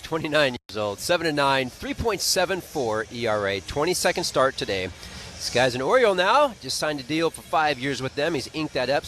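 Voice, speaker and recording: A man's voice: mid-range voice, a bit bright. An American man giving color analysis on a baseball radio broadcast in colloquial, punchy short phrases. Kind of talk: unscripted sports commentary. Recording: faint stadium noise on radio broadcast.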